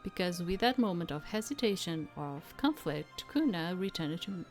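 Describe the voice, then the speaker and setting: A woman streaming a game, reading visual novel narration aloud in a measured, dramatic way with casual asides. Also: lowish voice, animated. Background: soft game music.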